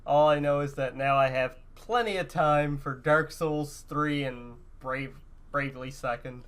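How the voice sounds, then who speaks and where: A man's voice: a little high, a little slow, a bit bright, clear, resonant tone. A man co-hosting a podcast, chatting casually and informally about games and films. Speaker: podcast co-host. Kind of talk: casual chat about video games and movies.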